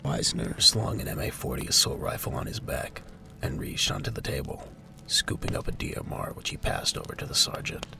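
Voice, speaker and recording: A man reading scripted narration for a military audio drama as its narrator. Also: brisk. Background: music.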